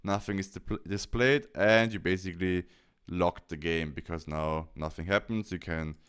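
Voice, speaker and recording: A man presenting a software tutorial, explaining each step as he goes. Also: deep voice, steady tone, moderate pace, smooth.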